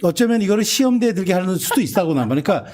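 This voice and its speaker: A man holding forth as a political commentator at a rapid clip, his voice swinging up and down with lively intonation. He jumps back into sentences mid-thought as he builds his argument on a talk panel. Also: sits high. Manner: animated, quick, restarts mid-sentence.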